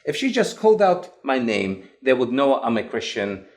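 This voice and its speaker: A man from Lebanon with an English accent, a guest recounting his past in an interview with animated, emphatic delivery. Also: medium pitch, normal speed. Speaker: guest, evangelist. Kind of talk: interview storytelling.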